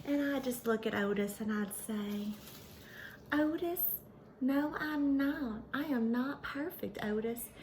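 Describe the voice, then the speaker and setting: A woman voicing an excitable, vain, dreamy female pony, telling her story to children in a gushing, folksy, dramatic way. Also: fairly high pitch, slow pace, slight background noise. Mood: wistful, forlorn. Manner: gushing, dramatic, folksy, addresses listeners as y'all. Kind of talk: animated first-person storytelling for children.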